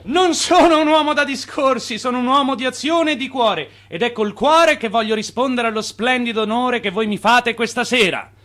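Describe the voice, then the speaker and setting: An actor in a radio drama playing a self-assured, persuasive Italian immigrant financier and swindler with a showy manner. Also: male voice, very high voice, moderate pace, hardly any pauses, a bit bright. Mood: expansive, boastful.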